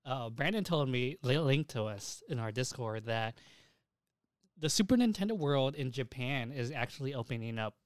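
A man's voice: mid-range voice, expressive, moderate pace, no room sound, studio quality. A young man co-hosting a casual anime news podcast, rambling along and swearing freely. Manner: profane, rambling. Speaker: podcast co-host.

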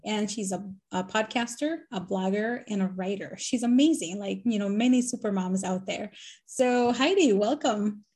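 A woman's voice: medium pitch, normal speed, darkish tone. A woman from Nicaragua hosting a podcast interview, upbeat and chatty. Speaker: podcast host. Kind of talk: casual interview chat.

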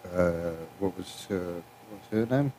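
A man's voice: deep voice, measured pace, warm tone, slight background noise. A man hosting his own podcast alone, rambling loosely between clips, swearing and trailing off. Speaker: podcast host. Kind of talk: casual solo podcast chat.

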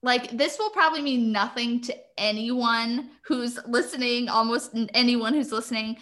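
Woman, casual chat, higher pitch, bright tone, explanatory, laughs often. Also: normal speed.

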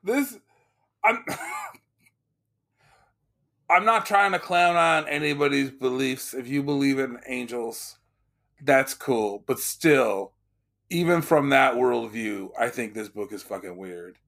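A man chatting casually about a book, wry and often laughing, halting with restarts. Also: mid-range voice, animated, a bit bright. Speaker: podcast co-host.

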